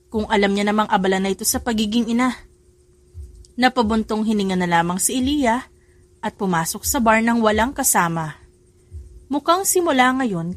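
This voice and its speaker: A woman reading a scripted story dramatically, as an audiobook narrator. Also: fairly high pitch. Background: background music.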